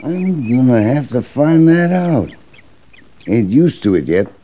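A man playing a gruff, grudging frontier man with rustic talk in an old radio western. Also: medium pitch, sing-song, slow pace, mellow. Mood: grudging, testy. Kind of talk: 1940s-50s radio western acting. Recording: dull old radio recording.

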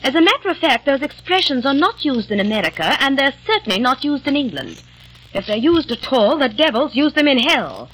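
A sharp young lady of the 1700s, suspicious and accusing. Her delivery is clipped, with a high, breathy voice. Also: sing-song, a little fast, heavily acted, rough recording. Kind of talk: 1940s radio acting.

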